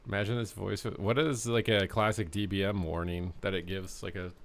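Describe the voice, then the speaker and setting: A man's voice: low voice, fairly even intonation, moderate pace. A man chatting casually on a game live stream, rambling with lots of filler words.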